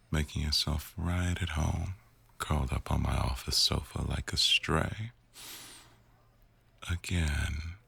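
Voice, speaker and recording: A man playing a teasing, bossy but doting newsroom boss in an intimate boyfriend roleplay audio, with a wry, unhurried delivery. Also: extremely low pitch, vocal fry, a bit bright, slightly rough, exaggerated voice. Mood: wry, fond.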